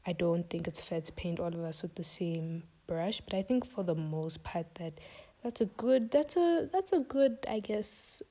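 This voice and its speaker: A woman's voice: on the low side, animated, normal speed, warm tone. A young woman hosting a podcast, talking casually and thinking aloud in a string of questions. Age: young adult. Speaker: podcast host.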